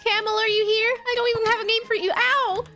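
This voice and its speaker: High-voiced congested woman gaming commentary. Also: little pitch movement, a little fast, bright, forceful tone, faint music behind. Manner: chatty, thinks aloud while building. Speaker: gaming YouTuber. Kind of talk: let's play commentary.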